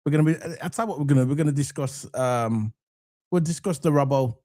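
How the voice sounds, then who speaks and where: A man hosting a casual football live stream, croaky from a cold, loose and chatty with lots of ums as he talks to the chat. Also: fairly high pitch, quick pace. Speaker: football channel host. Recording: faint background music under voice. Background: soft background music.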